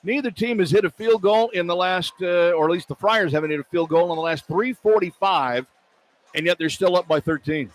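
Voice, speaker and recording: A man's voice: sits high, fairly quick. A man calling live basketball play-by-play on the radio in clipped, precise phrases. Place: courtside in a large indoor arena.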